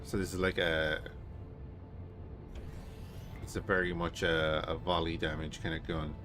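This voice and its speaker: A man's voice: sits low, flat delivery, a little slow. A sick Irish man chatting casually as he streams a game, explaining mechanics and answering chat. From Ireland. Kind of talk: casual live gameplay commentary. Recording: noticeable background noise.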